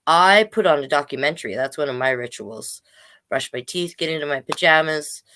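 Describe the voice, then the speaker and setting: Storytime host: woman, low voice, informal and rambling, mixing picture-book reading with loose chat. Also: a little fast, fairly bright tone, slight background noise, rough recording. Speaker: drag king storytime host and reader.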